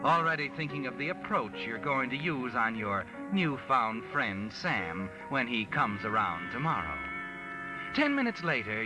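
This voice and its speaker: An actor playing a sardonic, insinuating narrator of a 1940s radio suspense drama, telling the story ominously. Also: male voice, mid-range voice, rough recording. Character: sardonic male mystery narrator addressing the guilty man as you. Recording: vintage 1940s radio recording with music.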